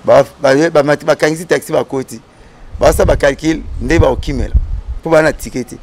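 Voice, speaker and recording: A man with a gravelly, fry-laden voice sitting a little high for a man. He rambles through a story, circling back and repeating himself, and acts out the people in it. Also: brisk, a little mellow, a little hiss or hum. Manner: digressive, repeats words and restarts, acts out quoted voices. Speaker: guest storyteller. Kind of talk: animated storytelling chat.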